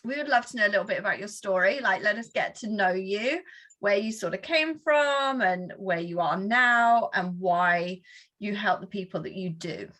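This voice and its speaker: A woman's voice: medium pitch, normal speed, fairly bright tone. A woman hosting a casual conversation show, bubbly and gushing, full of fillers like "like". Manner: bubbly, gushing, lots of "like" and "yay". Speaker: podcast host.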